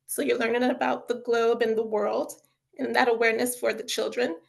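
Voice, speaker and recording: Chatty woman with a gravelly voice. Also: on the high side, little pitch movement, fairly quick. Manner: chatty, lots of fillers like "you know" and "um"; enthusiastic about children's learning. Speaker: author and educator presenting a webinar. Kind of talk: casual webinar presentation.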